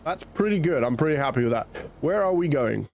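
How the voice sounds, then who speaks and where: A man with a croaky, nasal voice from a cold, chatting casually as he plays a farming game and thinks aloud. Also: fairly high pitch, animated, a little fast, darkish tone, faint music behind. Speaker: gaming channel host. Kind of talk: casual gameplay commentary.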